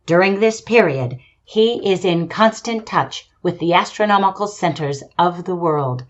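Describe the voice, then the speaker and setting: A woman's voice: lowish voice, lively intonation, measured pace, muffled recording. A woman playing a formal, courteous radio commentator reporting and interviewing in a 1930s-style radio drama. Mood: poised, descriptive. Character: poised female radio field commentator interviewing an astronomer.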